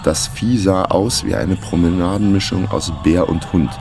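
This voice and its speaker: An actor playing a sly, weary small-time private investigator in a radio crime drama, with a dry, laconic delivery. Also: male voice, deep voice, moderate pace, creaky, over music. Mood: dry, matter-of-fact. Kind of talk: German radio crime drama, first-person narration and dialogue.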